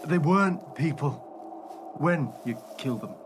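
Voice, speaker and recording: An actor playing a calculating, glib hotel manager who is secretly a werewolf, in a radio play. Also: male voice, fairly high pitch, animated, a little slow. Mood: grave, calm. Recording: noisy recording with loud background ambience.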